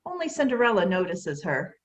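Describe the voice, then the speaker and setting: A woman's voice: medium pitch, very animated, fairly quick. A woman narrating, reading out the stage directions of a children's play.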